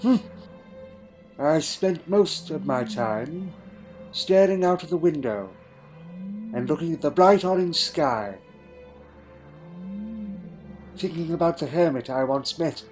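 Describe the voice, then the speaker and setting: A man plays a wistful, lonely time traveller from another world in an audio drama. His voice sits a little high for a man and moves in short, halting, reflective phrases. Music plays clearly beneath it. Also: expressive, darkish tone. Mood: weary, resentful. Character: wistful male alien time traveller recalling a lonely childhood. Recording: music under the voice.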